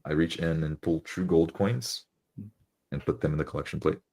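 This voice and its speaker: Very deep-voiced man playing tabletop roleplay. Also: fairly even intonation, moderate pace, a little mellow. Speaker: tabletop game player. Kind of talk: describing own character's actions in roleplay.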